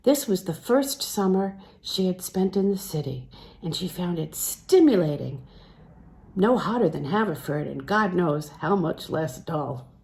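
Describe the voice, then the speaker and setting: A woman reads a literary novel aloud in an expressive storytelling style, with dramatic phrasing that can soften into hushed tones. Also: fairly low pitch, unhurried, low-quality recording. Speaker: audiobook-style reader.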